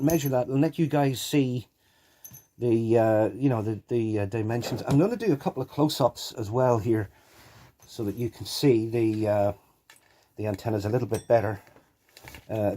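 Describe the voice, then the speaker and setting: A man's voice: medium pitch, dark tone. A man giving a casual, unscripted hands-on demonstration into a phone, explaining and repeating key points. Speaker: video presenter showing a radio collection. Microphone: handheld phone.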